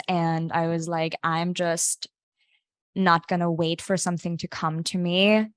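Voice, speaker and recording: A young woman from Austria telling her own story as a podcast guest, candid and animated, often quoting her own past thoughts. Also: lowish voice, dry recording. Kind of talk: casual storytelling.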